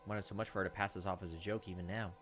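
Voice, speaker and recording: Fast-talking low-voiced man narrating a game. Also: steady tone, fairly bright tone, slight background noise. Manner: casual asides between read lines. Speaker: let's player reading game text aloud. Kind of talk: narrating and commenting over a visual novel.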